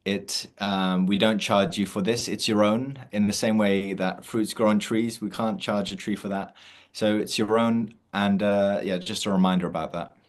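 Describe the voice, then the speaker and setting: A man hosting an online meditation session over a video call, talking in a relaxed way with many fillers and restarts. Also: lowish voice, moderate pace. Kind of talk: casual online session introduction.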